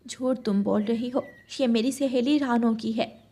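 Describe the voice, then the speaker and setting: A woman voicing a calm, sensible, helpful female bird in a cartoon. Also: a little high, a little slow. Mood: earnest.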